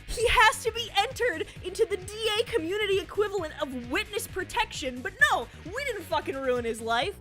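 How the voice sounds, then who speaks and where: A woman's voice: extremely high pitch, animated, brisk, hardly any pauses, fairly bright tone. A young woman delivering scripted commentary as an emphatic, accusatory rebuke peppered with swearing. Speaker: video commentator. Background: background music.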